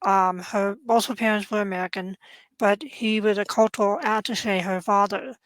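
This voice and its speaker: A woman interviewer asking questions in relaxed chat, reacting eagerly to her guest. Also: medium pitch, moderate pace, no echo, poor audio. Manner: eager, responsive, lots of short reactions.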